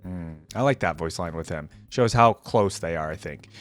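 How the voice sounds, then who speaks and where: A man's voice: sits low, moderate pace, no echo. A man hosting a reaction video, giving casual, slangy, off-the-cuff commentary. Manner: informal, slangy.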